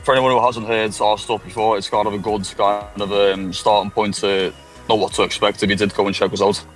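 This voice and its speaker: Quick easygoing liverpool guy, low voice, chill chat, faint music behind. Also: level tone, low-quality recording. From England. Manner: quick, easygoing, frequent "yeah". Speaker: guest musician. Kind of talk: casual chat.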